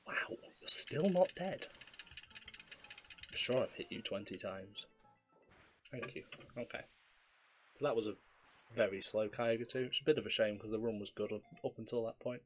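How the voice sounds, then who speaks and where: A man, slightly hoarse with a cough, calmly explaining his speedrun as he plays. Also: fairly low pitch, moderate pace, pauses often. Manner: relaxed, explanatory, self-deprecating. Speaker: speedrunner commentating his own run. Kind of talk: live gameplay commentary. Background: faint game music.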